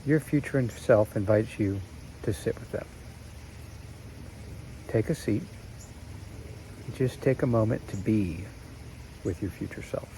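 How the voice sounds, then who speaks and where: A man narrating a guided meditation in a slow, soothing way with long pauses. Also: lowish voice, warm tone, slight background noise, poor audio.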